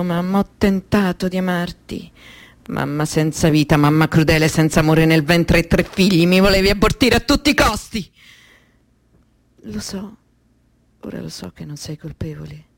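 A tormented young woman in a radio play. Her voice sits fairly low and very dry. She speaks with poetic intensity, as a daughter burdened by her fate. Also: dry recording. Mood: solemn, mournful. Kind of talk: theatrical radio monologue.

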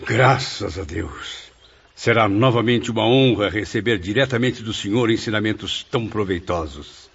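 A man's voice: lowish voice, moderate pace, vocal fry, a bit bright, husky, full character voice. A man acting an earnest, grateful spirit in a radio drama, speaking in a courteous, formal manner. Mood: grateful, respectful. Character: earnest, grateful male spirit, respectful pupil and friend. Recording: slightly dull, muffled audio.

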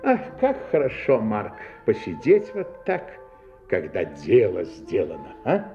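Assured police inspector, very animated delivery. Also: male voice, on the low side, reverberant, lo-fi sound, faint music behind, full character voice. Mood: relaxed, satisfied. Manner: theatrical, deliberate, emphatic. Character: shrewd, assured male police inspector unmasking a killer. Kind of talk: Russian audio drama acting.

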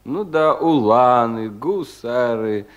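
An actor playing a despairing, bitterly mocking young provincial official in a theatrical radio drama, with long dragging pauses. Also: male voice, mid-range voice, lively intonation. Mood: drunken mockery. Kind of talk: 1970s Russian radio drama acting.

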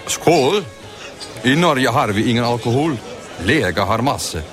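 Talkative scientist for radio play, mid pitch, animated delivery. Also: male voice, slow pace, over music. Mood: jovial, explaining. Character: talkative Scandinavian scientist drinking at a congress party.

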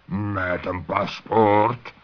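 An actor playing a huge, gruff polar bear customs officer in a children's radio play, growling and curt. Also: male voice, lowish voice, fairly even intonation. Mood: stern. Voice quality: growling, gravelly. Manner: curt, authoritative, slow. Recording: dull old radio play recording.

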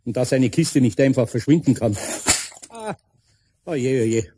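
A man playing a grumbling, good-natured older carpenter with a Bavarian accent and a husky, muttering, bemused delivery in a children's radio play. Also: mid-range voice, unhurried. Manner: muttering to himself, bemused, expressive.

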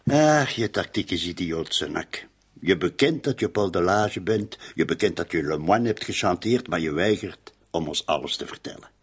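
An actor playing a dogged, sarcastic police inspector in a radio drama, husky and blustering. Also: male voice, low voice, animated, normal speed. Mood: sardonic pressure. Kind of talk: radio drama acting in dialogue.

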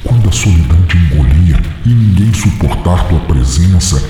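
A man's voice: low-pitched, animated, normal speed, mellow. A man voicing a cruel, taunting female demon that possesses its victim, heard through heavy reverb. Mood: menacing. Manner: menacing, taunting. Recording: cavernous reverb over faint music.

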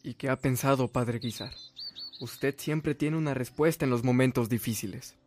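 A man's voice: mid-range voice, moderate pace, a little mellow, slight background noise, natural voice. A man acting in a radio drama as a young, eager, deferential seminarian. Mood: admiring, curious.

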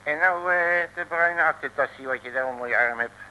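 A streetwise detective from a vintage comic radio serial, full of bluster and folksy slang. His voice is piercingly bright and slightly high. A faint noise sits on the old recording. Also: a little fast, poor audio. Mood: bossy, threatening. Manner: colourful folksy slang and mock threats. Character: cocky, blustering, streetwise male detective, bluffing his way through. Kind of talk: 1950s Dutch comic radio serial acting.

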